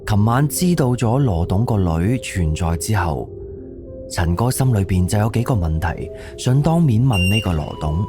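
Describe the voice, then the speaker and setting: Lowish Cantonese male narrator over music. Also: sing-song, normal speed. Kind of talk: scripted audio drama narration. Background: background music.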